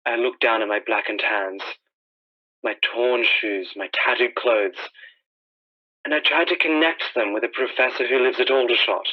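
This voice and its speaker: A male actor voices a haunted, solitary man of learning in a radio drama. He narrates in a brooding, literary way at a medium pitch. The sound is a little dull and lo-fi. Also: moderate pace, natural voice. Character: haunted, lonely astronomy professor, survivor of an invasion. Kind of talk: radio drama monologue, first-person journal narration.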